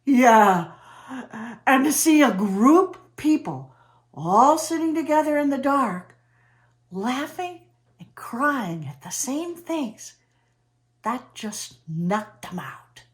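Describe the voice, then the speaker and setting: A woman playing an eccentric, awestruck character in a theatrical comic monologue, telling her story with dramatic emphasis and pauses. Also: sits high, sing-song, exaggerated voice. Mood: confiding, amazed. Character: eccentric, awestruck woman named Trudy who chums with space aliens.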